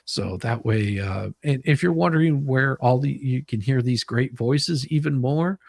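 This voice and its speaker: Male livestream host, creaky voice, dry close sound, loose and rambling delivery that circles back on itself. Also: mid-range voice, a little slow, darkish tone, no room sound. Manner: rambling, repeats words while thinking. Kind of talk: casual livestream chat.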